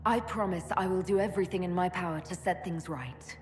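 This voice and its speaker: An actress playing an earnest, compassionate heroine in a video game, sincere and reassuring. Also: female voice, on the low side, fairly quick, natural voice. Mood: determined. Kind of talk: dramatic video game cutscene acting. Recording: echoey hall, faint noise.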